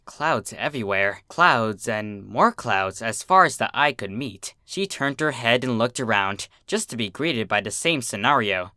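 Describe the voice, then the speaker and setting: A man reading a story as narrator, in an expressive, dramatic scripted style. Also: medium pitch, no room sound. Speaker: fan fiction narrator.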